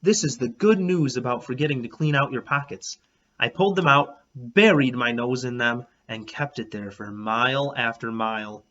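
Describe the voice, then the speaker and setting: A man reading a children's storybook aloud as a teacher, in an expressive, animated, emphatic way. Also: medium pitch, moderate pace, highs cut off. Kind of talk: expressive storybook read-aloud in a child narrator's first person.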